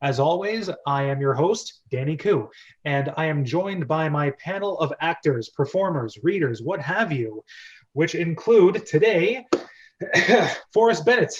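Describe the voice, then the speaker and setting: A man's voice: a little high, expressive, unhurried, muffled recording. A man hosting and narrating a script read-through, chatty and jokey with a theatrical delivery.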